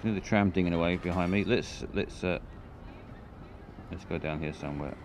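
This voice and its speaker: A very deep-voiced man talks through a live stream in a loose, rambling way. His humour is dry and self-mocking, and he reads out comments from chat as he goes. A faint hiss of noise sits under his voice. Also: normal speed.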